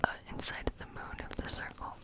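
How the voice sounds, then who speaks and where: A woman performing an ASMR role-play as a friendly woodland witch, reading tarot in a breathy, unhurried whisper with a musing, reassuring manner.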